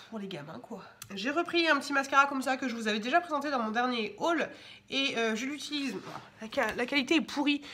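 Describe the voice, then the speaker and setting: A woman's voice: on the high side, a bit bright, a little hiss or hum. A young woman with a cold, chatting casually at home as she shows off her shopping haul, with lots of fillers and restarts. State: has a cold, stuffy. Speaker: vlogger showing shopping haul. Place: home indoors.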